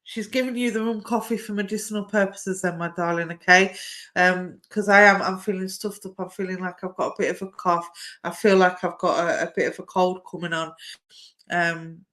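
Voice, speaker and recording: A middle-aged woman, chatting warmly through a live-stream card reading and calling viewers "my darling". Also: fairly low pitch, fairly even intonation, fairly quick. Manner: chatty and affectionate, says "my darling" often. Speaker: psychic medium giving live readings.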